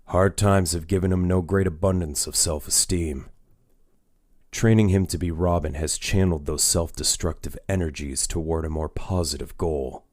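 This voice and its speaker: An actor playing a brooding, guarded older masked crime-fighter in a comic dub, with a grave, measured delivery. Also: male voice, low-pitched, fairly even intonation, dry recording, clean studio sound, lightly acted. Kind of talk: comic dub dramatic acting.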